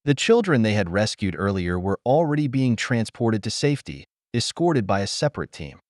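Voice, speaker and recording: Low-voiced man narrating with lively swings. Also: normal speed, dry recording, studio quality. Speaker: audiobook narrator. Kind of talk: scripted narration of science fiction adventure.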